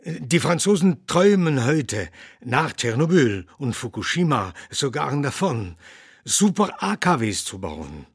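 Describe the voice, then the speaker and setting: An actor playing an elderly, outspoken humanitarian doctor in a radio play, husky and deliberate, with dry humour. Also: male voice, fairly high pitch, dry recording, heavily acted. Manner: deliberate, opinionated, dryly humorous. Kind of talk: radio drama in the form of a talk show interview.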